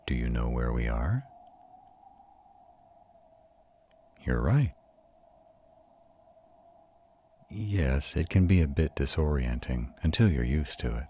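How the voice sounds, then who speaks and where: A man's voice: a bass voice, mellow, slight background noise. A man voicing an arrogant but tender vampire mentor in an intimate roleplay audio drama, soothing and reassuring his mate. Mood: calm, encouraging.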